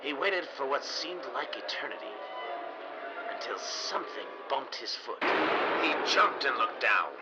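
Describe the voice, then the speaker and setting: Man narrating radio mystery, lively pitch swings. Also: dull audio, loud music behind the voice. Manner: dramatic storytelling with deliberate pauses.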